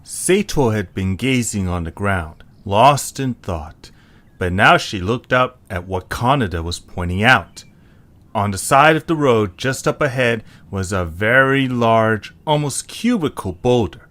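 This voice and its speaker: A man's voice: on the low side, expressive, a little slow, fairly bright tone. A man narrating a scripted story.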